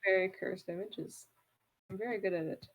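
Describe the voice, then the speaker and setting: Teasing woman tossing quick asides. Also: medium pitch, fairly even intonation, vocal fry, muffled recording. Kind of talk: casual chat.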